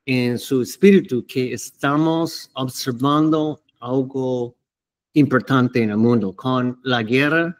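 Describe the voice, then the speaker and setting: Very slow, halting man teaching the Bible. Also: mid-range voice. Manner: hesitant, halting, many fillers and restarts. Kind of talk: unscripted Bible teaching to camera.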